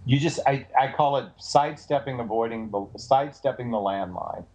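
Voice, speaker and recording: A man with a big booming voice, a dating coach giving emphatic, animated advice on a call. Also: moderate pace, slightly rough, poor audio. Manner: animated, emphatic, repeats phrases. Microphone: call audio.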